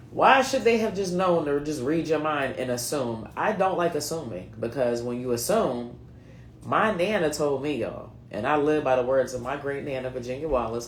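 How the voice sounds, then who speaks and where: A woman's voice: rumbling low, slight background noise. Slangy late-thirties woman in an echoey room. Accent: mixed US East Coast (New England, Philadelphia, North Carolina, Delaware). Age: late 30s. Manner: chatty, slangy, talks straight to viewers. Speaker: card reader. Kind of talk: casual spoken card reading for viewers.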